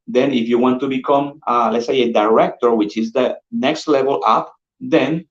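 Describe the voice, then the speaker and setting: A man with a Colombian Spanish accent, a sales manager giving an energetic, persuasive pitch and calling his listeners "guys" over and over. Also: normal speed. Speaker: insurance sales manager presenting. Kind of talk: upbeat sales pitch on a call. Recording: dull roomy lo-fi audio.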